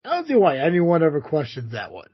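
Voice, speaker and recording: Sarcastic, husky male co-host. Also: a little high, wildly swooping pitch, fast talker. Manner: sarcastic, profane. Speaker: podcast co-host. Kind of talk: casual chat. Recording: dull lo-fi podcast audio.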